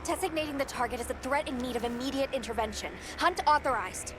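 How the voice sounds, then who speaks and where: An actress playing an earnest young guild field handler in a video game, brisk, precise and professional. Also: female voice, sits high, very noisy, close to an everyday voice. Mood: official, firm. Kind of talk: video game cutscene acting.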